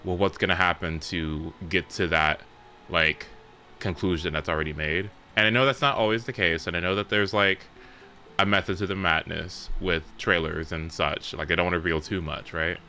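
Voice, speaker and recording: A young man with a low voice and a bright, forward tone chats while live streaming a game. He talks in a loose, rambling, informal way with casual swearing, over faint background noise. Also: fairly even intonation, moderate pace. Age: young adult. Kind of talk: casual livestream chat while playing a game.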